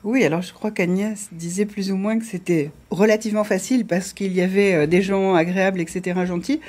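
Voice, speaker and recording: A woman's voice: medium pitch, fairly even intonation, brisk, darkish tone. Frank, self-assured French businesswoman. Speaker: business owner, studio guest. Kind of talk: studio panel discussion.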